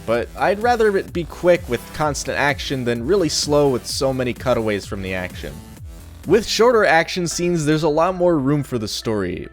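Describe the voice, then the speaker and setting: A young man narrating a scripted video review in a casual, blunt, sardonic way. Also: fairly high pitch. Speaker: anime reviewer. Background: faint music.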